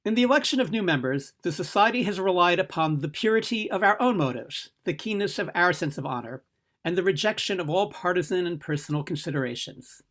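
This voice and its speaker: A man leads a formal ceremony, reading ceremonial text in a measured, solemn manner. His voice sits a little high for a man. He is heard through a video call. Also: a bit bright. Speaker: ceremony host.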